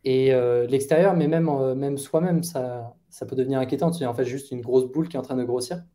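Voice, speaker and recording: A man in his thirties speaks over a headset on a video call from his bedroom. His voice is very dark and mellow. He answers at an easy conversational pace, hesitating and restarting as he thinks. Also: mid-range voice, a little fast. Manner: thoughtful, hesitant with many fillers and restarts. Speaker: guest product manager. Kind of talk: casual interview answers. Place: bedroom at home.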